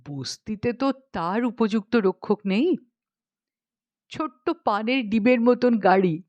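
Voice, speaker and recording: A woman narrating a humorous short story as an audiobook, expressively, with measured dramatic pauses between phrases. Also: medium pitch, a little mellow, dry recording.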